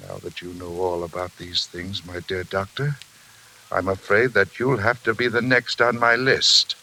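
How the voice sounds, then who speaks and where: An actor playing a murderous, haunted magnate in a melodramatic, desperate 1940s radio thriller. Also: male voice, low voice, little pitch movement, a little slow, sharp tone, full character voice, rough recording. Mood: cold menace. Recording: hissy old 1940s radio recording.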